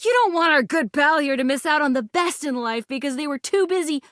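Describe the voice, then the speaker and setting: Weightlifting game character, pushy and upbeat, high-pitched, animated, slangy bro talk, dry sound. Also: female voice, brisk, no echo. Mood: brash, cajoling. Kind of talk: video game character acting.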